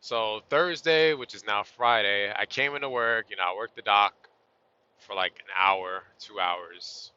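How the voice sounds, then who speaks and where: A man with a bright, forceful and fairly low voice talks his way through his shift from the cab of a moving truck, loose and chatty. He sounds slightly hoarse. The sound is dull and lo-fi, as if caught on a phone set up in the cab. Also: a little slow. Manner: laid-back, chatty, southern-style y'all. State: losing his voice a little. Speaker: truck driver vlogger. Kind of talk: casual vlog talk to camera while driving. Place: inside a truck cab on the road. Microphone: phone or camera on a tripod.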